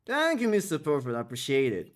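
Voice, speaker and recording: A man's voice: fairly high pitch, fast. A man casting an esports match solo on a live stream, speaking non-native English with slightly broken phrasing and chatting with viewers between plays. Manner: excitable play-by-play calls, chatty with viewers. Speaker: esports caster. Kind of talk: solo live game commentary and chat replies.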